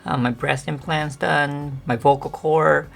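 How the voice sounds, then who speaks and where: A woman vlogger chatting casually to the camera with a monotone, deep voice that sometimes cracks, rambling with many fillers. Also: measured pace, creaky, soft background music. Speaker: beauty vlogger.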